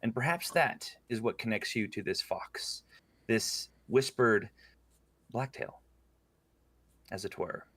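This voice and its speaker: A man running a tabletop game, narrating scenes slowly, with frequent fillers. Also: lowish voice, fairly bright tone, breathy edge, no room sound. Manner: slow descriptive narration with fillers. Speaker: game master.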